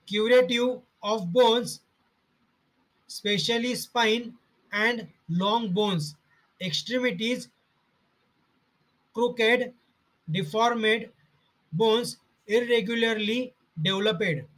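Slow, bright-voiced man reading notes. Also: high voice, poor audio. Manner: halting, reads items in short fragments. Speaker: homeopathy study narrator.

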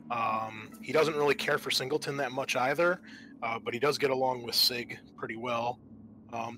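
A man narrates a tabletop roleplaying game as its game master. His voice is mid-pitched and bright, and he feels his way along haltingly. Faint noise sits behind him on the recording. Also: poor audio. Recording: noticeable background noise.

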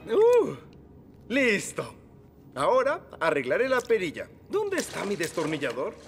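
A man's voice: high voice, wildly swooping pitch, a little slow, heavily acted. A man with a Latin American Spanish accent voicing a cheerful, bumbling cartoon handyman who chats and hums to himself as he works. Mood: flustered. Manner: chatty, talks to himself, hums while working. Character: cheerful bumbling handyman Mike, middle-aged. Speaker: cartoon character. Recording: studio dub under loud music. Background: loud background music.

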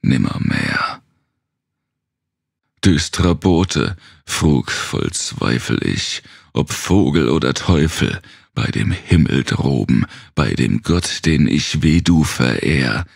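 Male narrator for Gothic verse: brooding, theatrical, heavy gravel in the throat, very close dry sound. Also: rumbling low, very animated, takes it slowly, dry recording. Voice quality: gravelly, husky, rasping. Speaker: narrator reading a horror poem aloud. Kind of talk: dramatic literary recitation, dark Gothic poetry.

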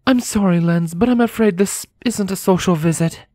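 A man's voice: high voice, normal speed, dark tone, no room sound, studio quality, voice pushed up, close to an everyday voice. A man voicing a composed, guarded female unicorn who speaks politely and firmly in a story reading. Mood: apologetic, firm.

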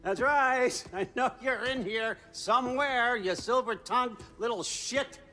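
An actor playing a scheming, smooth-talking old Norse god in a video game, sardonic and conversational as he needles. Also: extremely high pitch, a little slow, sharp tone, faint music behind, heavily acted. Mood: sharp, taunting. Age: older. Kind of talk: cinematic video game acting.

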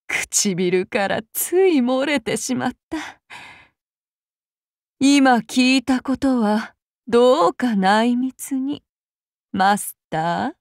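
Casting: anime game warrior demigoddess, high-pitched, extremely unhurried, archaic courtesy over menace. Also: female voice, animated, no room sound, studio quality, exaggerated voice. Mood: coy, longing. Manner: archaic formal polite speech, slow and deliberate. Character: soft-spoken, courteous, menacing female avenger demigod warrior. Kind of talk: anime mobile game voice lines.

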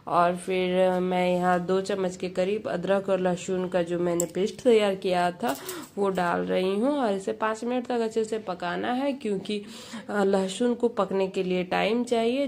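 A woman with a slightly creaky voice, presenting a recipe in voice-over as a home cook. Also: mid-range voice, darkish tone, a little hiss or hum.

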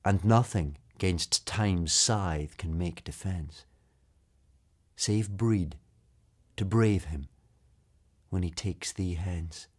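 A male actor with a low voice recites poetry slowly and solemnly. His phrasing is deliberate and expressive, shaped for meaning more than metre. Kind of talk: dramatic, expressive verse recitation.

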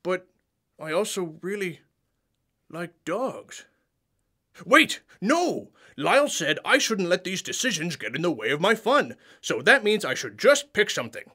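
A man's voice: high voice, very animated, measured pace, sharp tone, breathy edge, exaggerated voice. A man voicing an indecisive, childlike, friendly monster puppet for a kids' show, exclaiming and drawing out words as he dithers. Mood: torn, dithering.

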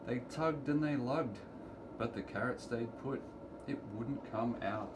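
A middle-aged man with a medium-pitched voice reads a picture book aloud for small children. His phrasing is deliberate and sing-song. The home recording has clear background noise. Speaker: children's story reader. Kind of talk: read-aloud picture book storytelling for preschoolers. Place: indoors in a room at home. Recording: clearly noisy home recording, room ambience.

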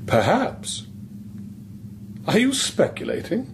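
Gentle priest, lively expressive pitch. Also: male voice, slow pace, background noise, heavily acted. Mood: probing. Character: gentle, probing priest, an investigator sent from Rome. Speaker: actor in a radio drama. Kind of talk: naturalistic radio drama acting.

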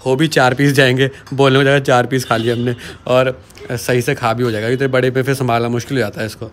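A man's voice: medium pitch, flat delivery, quick pace, a little hiss or hum. A man chatting playfully while filming a home cooking vlog with his phone, teasing as he goes. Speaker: vlogger. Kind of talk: casual cooking vlog chat.

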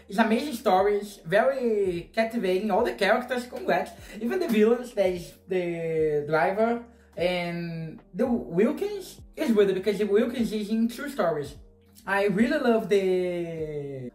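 A Brazilian man in his thirties whose native language is Portuguese, reacting to a horror movie and speaking English with a Brazilian Portuguese accent in a gushing, excitable way, swearing casually. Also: sits high, roomy sound. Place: small bedroom, indoors. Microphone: wired earphone mic.

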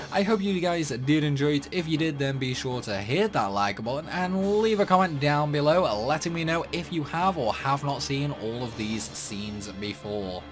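A man giving casual, rambling commentary while playing a video game. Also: fairly high pitch. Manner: chatty, rambling, animated. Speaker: gaming YouTuber.

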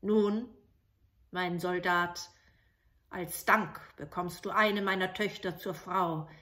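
A woman voicing a stately king and father in a storybook tale, formal and deliberate. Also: fairly low pitch, fairly even intonation, pushed and bright.

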